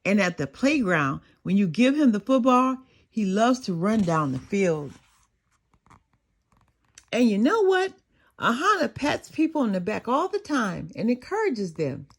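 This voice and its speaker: A woman's voice: medium pitch, sing-song, unhurried. A woman voicing an earnest, tender-hearted young schoolboy in a children's storybook, speaking in simple phrases. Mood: admiring, eager. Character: earnest, tender-hearted second-grade schoolboy telling his feelings.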